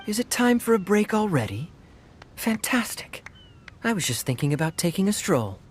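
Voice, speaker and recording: A man's voice: high-pitched, big pitch swings, normal speed, a little hiss or hum. A man playing a suave, teasing young rogue captain in an anime-style video game, smug and theatrical. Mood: playful, sly.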